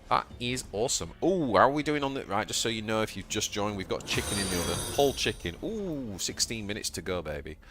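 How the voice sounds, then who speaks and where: A man with a Yorkshire accent talks his viewers through a live card game in a lowish voice that leaps all over the place. He gets excitable and yelps high at the big plays, with faint music playing behind him. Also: quick pace, few pauses. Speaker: streamer. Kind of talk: live gameplay commentary.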